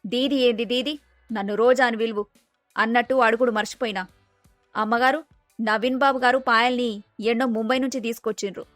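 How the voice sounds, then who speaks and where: Woman voicing scheming young daughter-in-law, Telangana accent, blunt, fairly high voice, dry sound. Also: steady tone, a little fast, long pauses, fairly bright tone, no echo, soft background music, close to an everyday voice. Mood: sharp, scheming.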